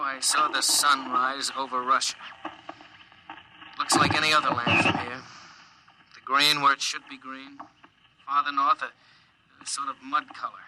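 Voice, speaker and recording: An American man playing a young astronaut stranded alone in space, musing haltingly in 1950s radio drama style. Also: medium pitch, lightly acted. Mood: reflective. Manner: halting, musing monologue to unseen listeners. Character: lonely stranded astronaut, a young lieutenant, wistful and reflective. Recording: noisy vintage 1950s radio recording.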